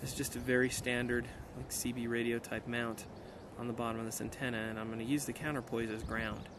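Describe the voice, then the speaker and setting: A man in a casual hands-on demonstration, talking in an unhurried, explanatory way as an amateur radio operator outdoors. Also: medium pitch, little pitch movement. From United States. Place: outdoors on a mountainside. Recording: noisy outdoor camera recording. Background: outdoor ambient noise.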